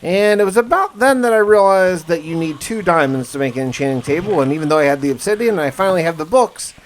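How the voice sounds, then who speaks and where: A man's voice: fairly high pitch, expressive, fast talker, slight background noise. A man hosting a gaming video, giving casual first-person commentary with folksy exclamations and mild slang.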